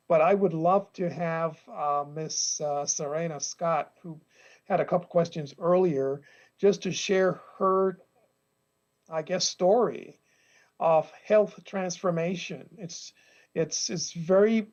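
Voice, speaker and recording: High-voiced male host on muffled audio. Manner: hesitant, many ums and uhs. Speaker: event host and moderator. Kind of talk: hosting an online seminar.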